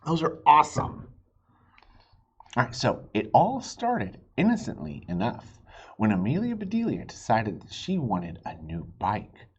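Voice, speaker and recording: A man reading a children's storybook aloud expressively, pausing to chat with young listeners and ask them questions. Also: medium pitch, wildly swooping pitch, husky, muffled recording. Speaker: children's read-aloud host.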